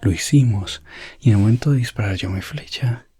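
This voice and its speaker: A man narrating a medieval ASMR roleplay as an elf prince explorer, in a hushed voice that slips in and out of whispering. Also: lowish voice, fairly quick, slightly rough, soft background music. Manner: hushed, drifting between soft voice and whisper. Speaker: ASMR storyteller.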